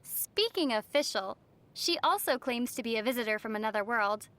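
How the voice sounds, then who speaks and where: A woman voicing a young female traveler in a video game, calm and playfully teasing, with wry delivery. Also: a little high, moderate pace.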